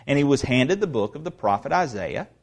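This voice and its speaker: A man preaching a sermon in a church, talking casually with asides and restarts, then reading scripture aloud and building to loud proclamation. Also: medium pitch, lively intonation, creaky. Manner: reads scripture aloud slowly. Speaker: pastor. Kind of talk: expository sermon.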